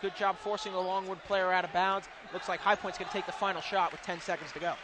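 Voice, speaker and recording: A man's voice: high-pitched, level tone, fast, noisy background. A man giving live color commentary and analysis on a basketball game for radio, from an arena. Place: indoor college basketball arena.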